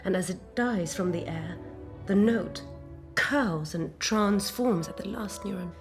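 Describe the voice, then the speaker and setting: A dreamy, tender woman played by an actress speaks in an intimate audio-drama monologue, lost in wonder. Her soft, breathy voice often sinks near a whisper and moves very slowly, with faint music underneath. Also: medium pitch, lightly acted. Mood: hushed wonder. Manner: slow, hushed, savoring each phrase. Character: dreamy, tender woman hero recalling sensory memories with wonder.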